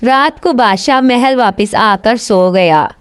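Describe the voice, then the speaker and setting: A woman's voice: on the high side, slow, smooth, dry recording, studio quality. A woman storyteller reading a scripted children's tale as narrator.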